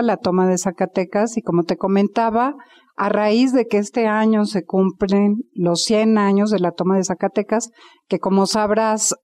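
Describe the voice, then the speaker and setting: A woman's voice: medium pitch, darkish tone. A middle-aged woman with a Mexican accent, explaining conversationally in an interview on a handheld microphone. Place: indoor office lounge at a radio station. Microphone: handheld reporter microphone.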